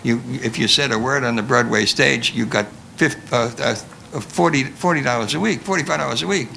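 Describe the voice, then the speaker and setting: Old guy rambling through memories, super creaky forceful voice, bit of noise. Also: medium pitch, brisk, rough recording. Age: older. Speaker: veteran radio actor sharing memories. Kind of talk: anecdotal reminiscence to an audience. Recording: live panel with faint noise.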